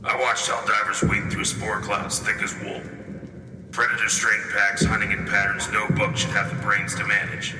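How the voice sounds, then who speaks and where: An actor playing a grizzled, wry frontline war correspondent giving a deadpan field report for a sci-fi radio drama. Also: male voice, lowish voice, moderate pace, natural voice. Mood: grim, wry. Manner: deadpan, dryly sardonic. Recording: roomy long reverb, distant, music behind.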